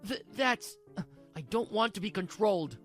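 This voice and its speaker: A woman's voice: mid-range voice, animated, moderate pace, faint music behind, natural voice. A woman voicing a self-pitying, evasive young husband in a dubbed manga drama, stammering and pleading his excuses. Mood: flustered excuses.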